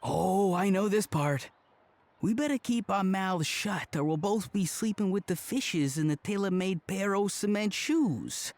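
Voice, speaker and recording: A man's voice: high voice, lively intonation, a little slow, darkish tone, dry recording. A man voicing a naive, eager sidekick in a video game, earnest and theatrical with gangster slang. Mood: eager, conspiratorial. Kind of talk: animated video game voice acting.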